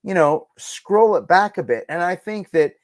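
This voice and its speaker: A man's voice: sits high, lively intonation, moderate pace, dry recording. Man musing emphatically, dry close sound. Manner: emphatic, musing fan talk peppered with "like" and "you know". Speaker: podcast guest. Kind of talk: casual conversational opinion.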